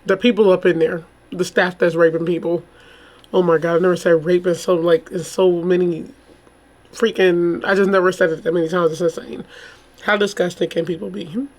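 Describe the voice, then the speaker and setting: Female vlogger, slightly hoarse, casual and rambling, exclamatory commentary in an informal chatty style. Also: fairly low pitch, level tone, moderate pace, darkish tone. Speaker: YouTube true crime host.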